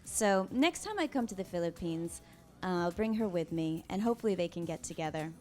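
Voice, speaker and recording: A woman in her thirties answering interview questions in a casual, thoughtful way, pausing often with soft ums. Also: fairly low pitch, lively intonation, faint music behind. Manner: soft-spoken, thoughtful, with frequent pauses and ums. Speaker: interview guest.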